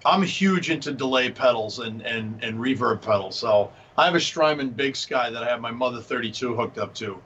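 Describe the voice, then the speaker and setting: A man's voice: medium pitch, normal speed, hardly any pauses, fairly bright tone. A man with a Boston accent chatting casually on a panel, enthusiastic and joking. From Massachusetts, United States. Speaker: panelist, synth musician. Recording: dull lo-fi call, audible background noise.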